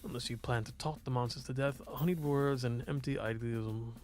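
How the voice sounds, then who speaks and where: A man's voice: medium pitch, fairly quick, darkish tone, soft background music, lightly acted. A man voicing a haughty, stern ruling duke in a game, formal and scornful. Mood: stern, dismissive.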